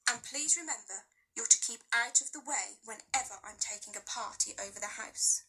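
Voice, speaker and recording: A woman's voice: on the high side, normal speed, bright, forceful tone, husky, heavily acted, poor audio. A woman voicing a strict, stern middle-aged housekeeper in a storybook reading.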